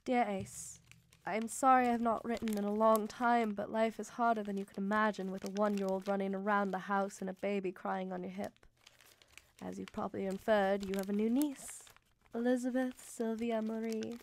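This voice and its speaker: A woman's voice: mid-range voice, flat delivery, moderate pace, a little hiss or hum, natural voice. An actress playing a devoted young Victorian mother, reading letters to her brother in an earnest, emotive way for a radio drama. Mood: tender, weary.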